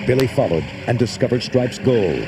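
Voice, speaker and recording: A man's voice: medium pitch, animated, normal speed, noisy background. A man reading a children's storybook as narrator, with dramatic, suspenseful delivery. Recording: old cassette tape with music behind.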